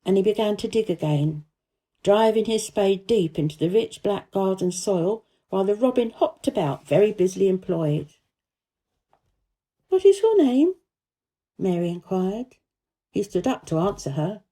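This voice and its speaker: A woman's voice: medium pitch, normal speed, dark tone. A woman reading a children's story as scripted narration.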